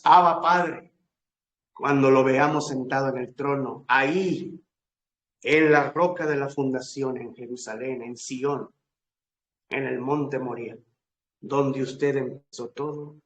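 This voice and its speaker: A man preacher leading a fervent, solemn prayer in emphatic short phrases with long pauses. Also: fairly high pitch, fairly bright tone. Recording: echoey room.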